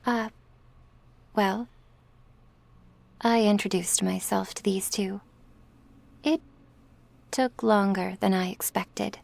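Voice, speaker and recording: A woman's voice: mid-range voice, steady tone, slow, vocal fry, long pauses, a little mellow, no echo, voice pushed up, full character voice. A woman voicing a hesitant, deferential female vampire underling in an ASMR roleplay. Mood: flustered.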